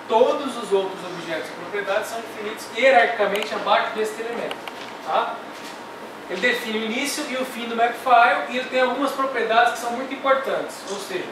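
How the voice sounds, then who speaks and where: A middle-aged man teaching a technical workshop, explaining step by step and checking in with "tá?". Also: very high voice. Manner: explains step by step, ends phrases with "tá?". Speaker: workshop instructor. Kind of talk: technical classroom lecture. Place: classroom with projector screen. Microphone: far camera. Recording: echoey room from far off, noisy.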